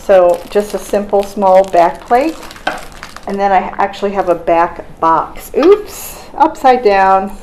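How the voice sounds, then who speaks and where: A woman's voice: mid-range voice, lively intonation, slow pace, a little mellow, a little hiss or hum, low-quality recording. A woman hosting a casual product unboxing in an informal, conversational way with her co-presenter. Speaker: host.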